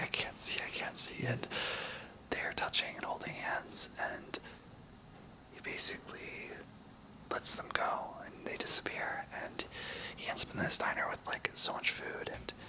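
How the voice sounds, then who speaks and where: A young adult whispering a casual ASMR-style movie recap, rambling softly with lots of "like" and "um". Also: moderate pace, poor audio. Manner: soft unvoiced whisper throughout; casual, rambling, many "like" and "um" fillers.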